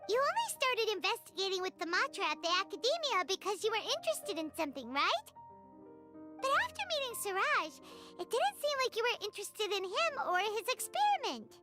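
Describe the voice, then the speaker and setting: A woman's voice: big pitch swings, fairly quick. A woman voicing a tiny, childlike, chirpy and curious flying fairy companion in a video game, blunt and given to exclaiming. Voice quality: squeaky, childlike. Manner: speaks of herself in the third person, exclaiming. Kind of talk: animated video game voice acting. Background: music.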